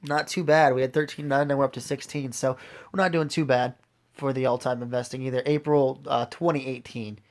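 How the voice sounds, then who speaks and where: Raspy man talking casually through finances. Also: mid-range voice, steady tone, fairly quick. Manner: informal, addresses viewers directly. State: recovering from a lost voice, occasional throat clearing. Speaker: host of an investing video series. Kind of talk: casual unscripted portfolio walkthrough.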